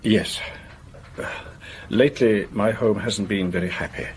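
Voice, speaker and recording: An actor playing an anxious, discreet government official in a radio drama, speaking formally and hesitantly. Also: male voice, fairly low pitch, measured pace, slight background noise, lightly acted. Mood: uneasy, formal.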